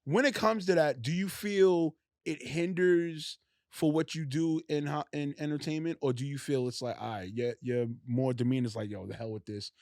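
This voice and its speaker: A man's voice: a little high, steady tone, moderate pace, a little mellow, no echo, studio quality. A man hosting a podcast, asking long, rambling questions in loose slang with lots of fillers. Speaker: podcast host. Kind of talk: casual interview chat.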